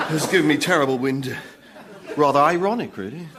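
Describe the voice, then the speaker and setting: A man's voice: fairly high pitch, heavy background noise. An actor playing a meek, naive and devoted male minion with a wistful manner in a radio comedy drama.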